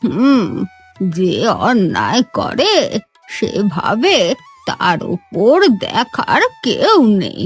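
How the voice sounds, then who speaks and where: A woman voicing a mysterious, kindly old village granny in a fairy-tale cartoon, husky and consoling. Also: medium pitch, wildly swooping pitch, extremely slow, soft background music, exaggerated voice. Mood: solemn, knowing. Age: older.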